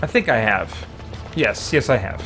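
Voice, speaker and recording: A male game streamer talks casually to his viewers in a medium-pitched voice, with music playing clearly behind him. He rambles in a self-deprecating way and throws in playful exclamations as he plays. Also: expressive, unhurried. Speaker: game livestreamer and podcaster. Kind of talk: casual gameplay chat with viewers. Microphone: streaming setup, headphones on.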